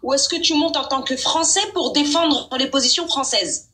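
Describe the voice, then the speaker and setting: A woman's voice: high-pitched, normal speed, bright, forceful tone. A Moroccan woman hosting a live stream debate, insistent and talking over her opponent, repeating her points.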